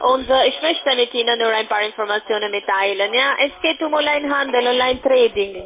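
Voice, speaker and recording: A high-pitched woman speaks over a narrow, tinny phone line. She is a non-native speaker with a foreign accent, delivering a cold-call sales introduction. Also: brisk. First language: not German. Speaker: telephone sales agent. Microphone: telephone.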